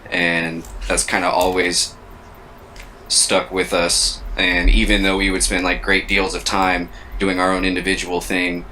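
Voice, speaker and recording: A man in his thirties with a very low voice and a flat, level delivery talks things through slowly, stopping to hunt for the right words. His tone still has a bright, forward edge. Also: a little hiss or hum, rough recording. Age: 30s. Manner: reflective, searching for words. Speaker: co-host. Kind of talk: casual chat.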